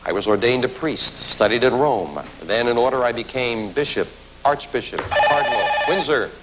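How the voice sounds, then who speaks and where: A man's voice: mid-range voice, moderate pace. A man with an American accent hosting a television quiz show in a showy, announcing manner. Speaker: game show host. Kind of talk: game show hosting, ad-libbed banter and quiz questions. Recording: noisy old television broadcast, studio ambience.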